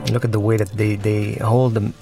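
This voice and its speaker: A man, a film director, giving commentary on his film in a halting way, searching for words. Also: fairly low pitch, little pitch movement, vocal fry, music in the background.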